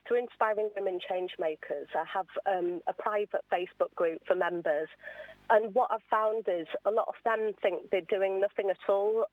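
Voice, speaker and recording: A woman's voice: mid-range voice, dry recording, phone-quality sound. A woman being interviewed on radio as an awards founder, explaining things earnestly in a conversational way.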